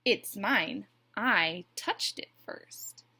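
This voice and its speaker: A woman's voice: mid-range voice, lively intonation, extremely slow, sharp tone, breathy edge, full character voice. A woman voicing a stubborn, defensive male goose in a children's picture book, speaking emphatically to contradict a rival.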